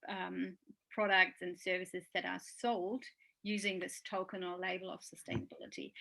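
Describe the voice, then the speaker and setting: Woman webinar host, thoughtful, fry in the voice. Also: mid-range voice, sharp tone, rough recording. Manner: thoughtful, searching for words. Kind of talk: casual webinar conversation.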